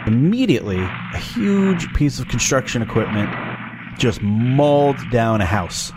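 A man hosting a casual movie watch-along, his voice scratchy and raspy from a cough, making sarcastic jokes as he watches. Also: medium pitch, sing-song, unhurried. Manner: joking, sarcastic. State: has a cough. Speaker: host reviewing a movie.

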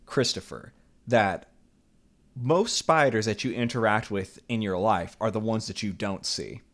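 A man co-hosting a podcast, setting up the discussion and teasing his brother. His voice sits fairly low. He talks in a relaxed, chatty way. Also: measured pace. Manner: teasing, introduces topics. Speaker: podcast co-host. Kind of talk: casual comedic chat.

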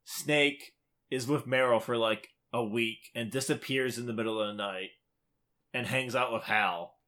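A man's voice: medium pitch, steady tone, unhurried, pushed and bright. A man co-hosting a podcast, chatting casually about games with wry, conversational comments. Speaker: podcast co-host.

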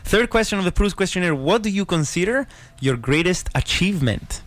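A man's voice: sits high, lively intonation, a little fast, no echo. Upbeat man hosting a podcast interview. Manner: enthusiastic, warm encouragement, philosophical asides. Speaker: podcast host. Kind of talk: casual interview.

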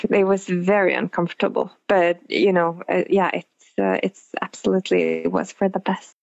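A woman's voice: lowish voice, flat delivery, moderate pace, vocal fry, no room sound, highs cut off. A woman with a Norwegian accent giving reflective answers as an interview guest, hesitant and searching for words. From Norway.

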